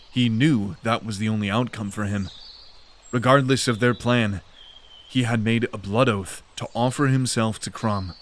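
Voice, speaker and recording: A man narrating a fantasy audio drama in a measured, steady storytelling manner. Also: lowish voice, a little hiss or hum.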